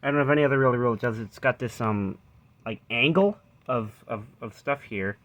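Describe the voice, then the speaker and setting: A man's voice: medium pitch, a little fast, a bit bright. Casually rambling man demonstrating gear. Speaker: vlogger showing vintage reel-to-reel tape recorder. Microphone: handheld phone camera.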